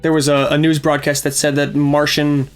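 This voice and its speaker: A man's voice: fairly high pitch, monotone, moderate pace, clean tone, faint music behind. A man with a scratchy voice from a cold, hosting a casual reaction chat and recapping plot at length. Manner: chatty, explains plot at length. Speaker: reaction show host.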